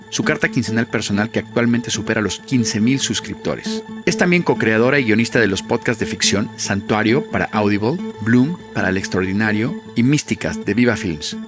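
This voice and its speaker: A man hosting a podcast, reading a scripted introduction in a measured, announcer-like way before interviewing his guest. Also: on the low side. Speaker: podcast host.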